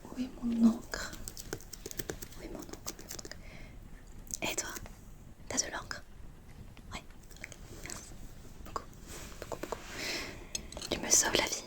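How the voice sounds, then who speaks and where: A woman's voice: takes it slowly, pauses often, natural voice. A woman playing a friendly, chatty, slightly distracted teenage schoolgirl in a whispered ASMR roleplay, speaking in casual, hesitant student slang. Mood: casual, grateful. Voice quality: soft, breathy whisper.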